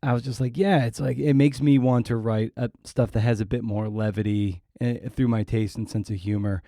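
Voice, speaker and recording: A man's voice: medium pitch, fairly even intonation, a little fast, warm tone, dry recording, studio quality. A man hosting an interview podcast, talking casually and reflectively, often circling back and restarting mid-thought.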